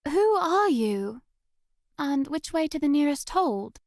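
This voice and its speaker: A woman's voice: sits high, very animated, takes it slowly, darkish tone, no echo, studio quality, heavily acted. A woman voicing a dreamy, curious young wandering witch in a visual novel, with a musing, theatrical delivery.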